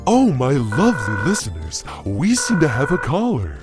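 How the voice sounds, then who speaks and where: A man's voice: mid-range voice, huge pitch swings, normal speed. An actor playing a smarmy, theatrical radio DJ in an audio drama, with an unctuous showman's drawl. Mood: smooth, mock surprise. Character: smarmy, theatrical male radio DJ, syrupy and sly. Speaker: radio host. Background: loud music.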